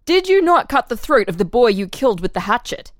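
A woman's voice: a little high, very animated, brisk, no room sound, studio quality. A woman playing an official interrogator who puts formal, leading questions in a dramatic reading of a transcript. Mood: insistent, formal. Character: probing, insistent official interrogator questioning a murder suspect.

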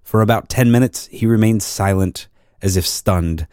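A man narrating an old-fashioned drama in a formal, measured storytelling manner. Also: on the low side, a little mellow, smooth, no room sound, studio quality. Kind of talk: scripted literary narration of a drama.

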